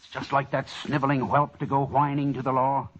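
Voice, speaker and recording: An actor playing a proud, gruff middle-aged cattleman colonel, blustering and indignant, in an old time radio western. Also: medium pitch, monotone, brisk, a little hiss or hum, natural voice. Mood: indignant, scornful. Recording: muffled, dull old radio recording.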